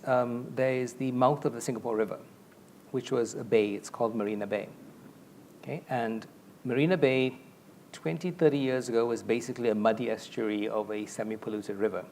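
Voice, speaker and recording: A middle-aged man from Malaysia talks through a lapel mic on a conference stage, explaining ideas at length and with conviction. His pitch sits in a plain middle range. A faint low rumble sits under his voice. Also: darkish tone. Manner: expansive, explanatory, gestures a lot while talking. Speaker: panelist. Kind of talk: conference panel discussion. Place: large stage with a curtain backdrop.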